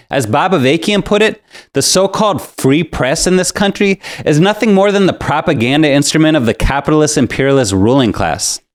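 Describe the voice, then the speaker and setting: A man with a medium-pitched voice delivers scripted political commentary as a show co-host. He speaks emphatically and argues his points like a committed polemicist.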